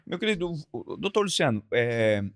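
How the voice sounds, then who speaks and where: A man hosting a podcast, opening like a showman and then settling into relaxed chat. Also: medium pitch, normal speed. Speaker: podcast host.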